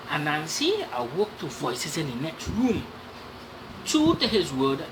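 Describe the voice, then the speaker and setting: Female storyteller for read-aloud tale: lowish, very varied pitch, asides to listeners, noisy recording. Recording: clearly noisy background.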